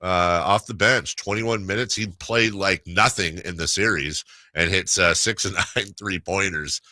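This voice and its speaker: A man with a deep, hard-edged bright voice recorded close and dry. He hosts sports talk casually, with wry jokes and halting restarts. The delivery is conversational and loose. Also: no echo. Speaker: sports radio host.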